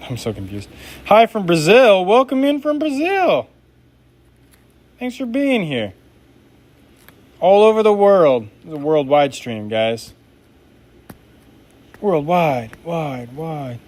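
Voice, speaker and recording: A man's voice: a little high, big pitch swings, slow, pauses often, a little mellow. A man making knives during a live stream, chatting casually and reading viewer comments aloud in an upbeat, laid-back way.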